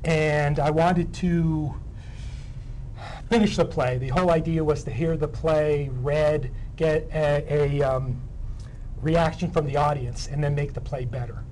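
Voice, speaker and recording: Male interview guest, fairly high voice, hesitant conversational phrasing, light noise in the background. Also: fairly even intonation, a little slow, darkish tone, poor audio. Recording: faint background room noise.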